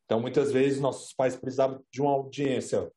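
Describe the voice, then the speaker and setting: A man whose first language is Brazilian Sign Language answers interview questions while he signs. His voice sits at an ordinary pitch with a warm, dark color. He tells his story calmly, with small filler tags and restarts. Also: brisk. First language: Brazilian Sign Language (Libras).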